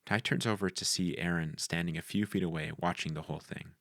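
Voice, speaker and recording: A man's voice: low voice, a little fast, creaky, a bit bright, no room sound, studio quality. A man narrating the action lines of a screenplay in a scripted read.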